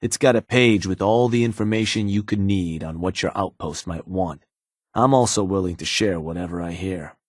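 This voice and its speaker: Casting: a laid-back raider gang fixer for a game, low voice, dry and matter-of-fact. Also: male voice, moderate pace, no echo. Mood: businesslike. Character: laid-back male raider fixer serving his boss. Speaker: gang fixer taking orders. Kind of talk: video game dialogue.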